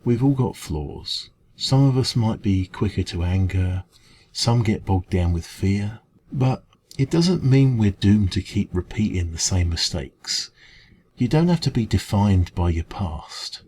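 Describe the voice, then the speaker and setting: A man with an English accent playing a kind café dragon in an ASMR roleplay, talking gently and reassuringly in a creaky voice. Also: fairly low pitch, lively intonation, measured pace, a little hiss or hum. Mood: earnest, reassuring. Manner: gentle, reassuring, hesitant conversational encouragement. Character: kind, caring male dragon who runs a café. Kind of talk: ASMR roleplay, comforting one-to-one talk.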